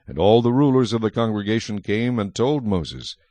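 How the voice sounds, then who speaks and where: A man narrating scripture from a script as a solemn, measured storyteller. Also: lowish voice, big pitch swings, warm tone, no room sound.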